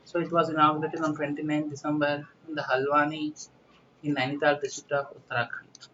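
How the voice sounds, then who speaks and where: A man's voice: on the high side, little pitch movement, brisk, sharp tone. A man from India with an Indian accent giving an informal, explanatory current affairs lecture as a teacher, repeating points instructively. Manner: repetitive, instructive, with "you know" tags. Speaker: exam-prep teacher. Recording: distant mic, muffled lo-fi, faint noise.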